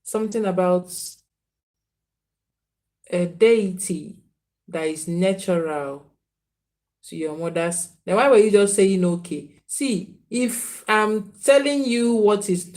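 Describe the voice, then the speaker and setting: A woman's voice: on the low side, measured pace, darkish tone. A woman giving spiritual readings on a live stream, repeating names and phrases and earnestly scolding viewers. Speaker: spiritual reader.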